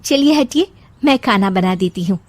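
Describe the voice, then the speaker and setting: A woman's voice: medium pitch, lively intonation, normal speed, no room sound, lightly acted. A woman voicing a carefree, eager young daughter-in-law with a bubbly, polite way of talking.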